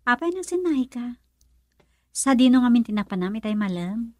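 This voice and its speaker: A woman's voice: a little high, moderate pace, no room sound. An actress playing an emotional young woman, a questioning close friend, with a Filipino accent in a radio drama. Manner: expressive, probing with many questions.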